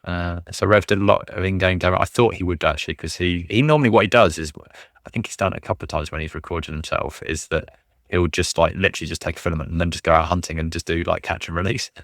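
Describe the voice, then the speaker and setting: A man fielding questions in a casual livestream, rambling with many ums and restarts in a low voice. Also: fairly even intonation, fast, a bit bright, dry recording, clean studio sound. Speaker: fleet commander answering questions.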